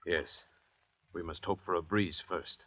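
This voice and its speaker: Very deep, husky, arrogant doctor. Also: male voice, steady tone, fairly bright tone, no room sound. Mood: weary, guarded. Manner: deliberate, lecturing, theatrical. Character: weary, learned, arrogant castaway doctor, cold and obsessive. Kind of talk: 1940s radio drama acting. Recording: dull 1940s radio recording.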